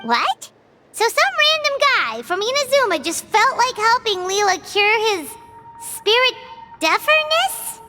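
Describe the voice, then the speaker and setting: A woman voicing a small, chirpy, blunt fairy companion with a squeaky childlike voice in a video game. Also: big pitch swings, a little slow, full character voice. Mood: incredulous. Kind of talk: animated video game voice acting. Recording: game music under the voice.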